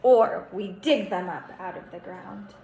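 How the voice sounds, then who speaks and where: A young woman teaching kindergartners in a read-aloud style, instructive and animated, stretching words for emphasis. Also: medium pitch, measured pace, vocal fry. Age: young adult. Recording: roomy echo, faint background noise.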